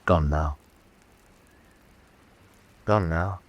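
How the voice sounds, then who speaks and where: A man's voice: low voice, very slow, exaggerated voice. An actor playing a disdainful, mocking male artist in a radio drama, with languid, ornate phrasing. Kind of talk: theatrical radio drama acting.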